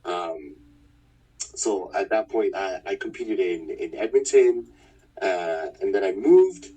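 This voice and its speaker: A man in his thirties from Manitoba, a fighter talking about his past in a relaxed, rambling way. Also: low-pitched, takes it slowly, husky, distant mic, rough recording. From Winnipeg, Manitoba, Canada. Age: 30s. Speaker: fighter, interview guest. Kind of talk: casual interview chat.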